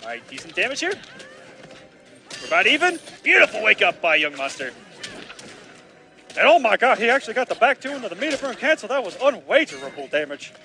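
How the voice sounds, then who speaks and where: Excitable high bright-voiced male caster. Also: fairly quick, pauses often, soft background music. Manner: excitable, jumps to loud outbursts on big plays. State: slightly raspy from long casting. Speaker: esports commentator. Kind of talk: live fighting-game play-by-play.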